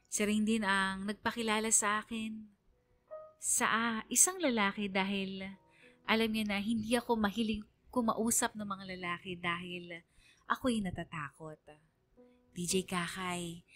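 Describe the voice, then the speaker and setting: A woman with a Filipino accent narrates a first-person radio story. Her voice is bright and crisp in tone at a medium pitch. Faint music runs beneath the narration.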